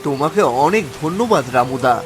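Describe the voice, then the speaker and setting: A man's voice: on the high side, animated, fairly quick, noisy background, lightly acted. A man playing a kind-hearted, earnest and hesitant poor husband in a cartoon. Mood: pleading. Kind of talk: Bengali moral-story cartoon acting. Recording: music under the voice.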